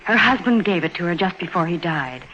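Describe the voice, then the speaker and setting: Gentle, lively-pitched radio heroine. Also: female voice, brisk. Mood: polite, curious. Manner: polite, earnest, probing. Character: gentle, earnest, curious young woman, romantic interest. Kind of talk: old-time radio drama acting. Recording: muffled, noisy archival radio recording.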